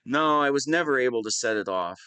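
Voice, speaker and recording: A man playing a whiny, hapless, soft-hearted wannabe super-villain in a scripted audio roleplay, self-pitying and prone to dramatic swings. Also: medium pitch, animated, a little fast, smooth, dry recording. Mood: sheepish explaining. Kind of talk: scripted one-sided audio roleplay monologue.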